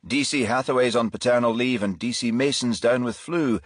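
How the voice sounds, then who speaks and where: A man voicing a businesslike senior police chief inspector with a measured, official manner in an audiobook. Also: medium pitch, no room sound, clean studio sound, pitched above the performer's own voice, close to an everyday voice. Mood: grave, businesslike. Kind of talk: audiobook character voice.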